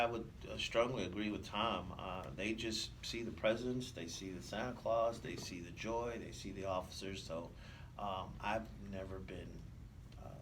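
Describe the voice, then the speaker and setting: Low-voiced, halting male police officer. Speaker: police officer, interview guest. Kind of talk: explanatory interview answers. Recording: slightly distant mic, faint noise.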